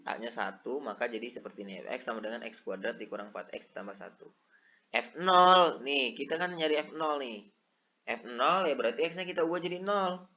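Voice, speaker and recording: Bright-voiced man tutoring math, lo-fi. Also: mid-range voice. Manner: relaxed, chatty, checks in with the viewer often. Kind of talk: casual step-by-step lesson.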